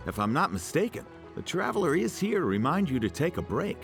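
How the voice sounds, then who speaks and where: A man's voice: a little high, sing-song, fairly quick, creaky. A man voicing a dutiful, deferential aide to a noble official in an anime game, polite and formal. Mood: reassuring. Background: music.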